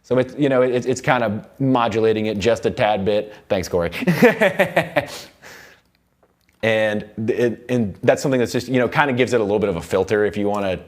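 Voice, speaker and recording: A young man teaching music production in a studio, explaining software in an informal, rambling way. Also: fairly low pitch, quick pace, hardly any pauses. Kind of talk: casual software tutorial. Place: indoor recording studio.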